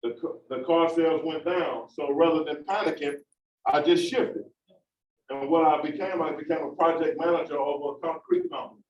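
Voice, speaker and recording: A man speaks out with fervent, repetitive emphasis, his voice pitched high. He is caught from a distance in a roomy hall on a dull, muffled recording, with a faint hiss behind him. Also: normal speed. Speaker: formerly incarcerated community speaker. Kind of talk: impassioned panel remarks. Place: large meeting room.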